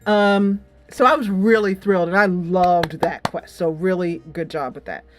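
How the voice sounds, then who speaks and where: A middle-aged woman giving a casual, giggly video game review, animated and emphatic, drawing out words for effect. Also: on the low side, moderate pace, noisy background.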